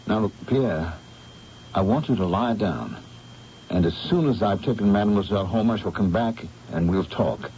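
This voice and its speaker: An actor playing a firm, take-charge English painter in a 1970s radio drama. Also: male voice, lowish voice, moderate pace, dark tone, natural voice. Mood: stern. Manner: firm, takes-charge, urgent. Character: commanding English gentleman painter. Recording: dull old radio broadcast, faint hiss.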